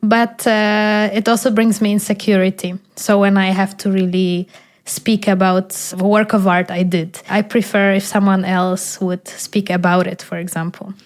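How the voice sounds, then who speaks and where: A woman's voice: medium pitch, flat delivery, moderate pace. Reflective Polish woman, podcast host. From Poland. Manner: thoughtful, searching for words, frequent fillers. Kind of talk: casual conversation.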